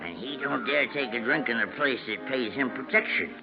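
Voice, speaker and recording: An actor playing a seedy, boozy American informant in 1940s radio detective style, slangy and rambling, with a dry, coughing throat. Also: male voice, medium pitch, lively intonation, a little fast, creaky. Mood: boozy, chuckling. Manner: slangy, rambling, chuckling tough-guy talk. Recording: muffled old 1940s radio recording, music behind.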